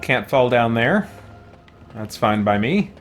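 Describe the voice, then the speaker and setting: A man's voice: medium pitch, very animated, slow pace, soft background music. Rambling casual male game streamer. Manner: informal, rambling, thinking aloud. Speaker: game streamer and YouTuber, host. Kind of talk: casual livestream commentary while gaming, reading and answering chat.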